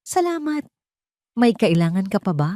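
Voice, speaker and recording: A woman's voice: medium pitch, sing-song, normal speed, warm tone, dry recording, studio quality, voice pushed up, natural voice. A woman voicing a proud, wronged businesswoman in an audio drama, cold and controlled. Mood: icy. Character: poised, icy, proud wronged businesswoman heroine.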